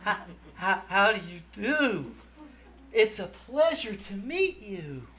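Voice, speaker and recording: A woman playing an earnest, lovesick high school boy who stammers dreamily, in 1940s radio comedy style. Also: big pitch swings, slow, background noise. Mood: bashful, nervous. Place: live on stage in a small theater.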